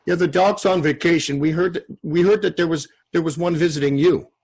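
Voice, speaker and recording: A man's voice: a little high, fairly even intonation, brisk, a little mellow, slightly rough, pitched above the performer's own voice. A man voicing the frantic parents of an injured child, pleading urgently and repeating himself. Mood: panicked, pleading.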